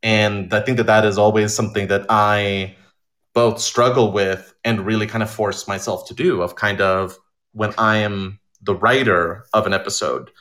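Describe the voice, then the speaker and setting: A man talking in an informal interview as an audio drama creator, telling stories in an animated way and voicing imagined quotes. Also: lowish voice, normal speed. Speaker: audio drama writer and director being interviewed.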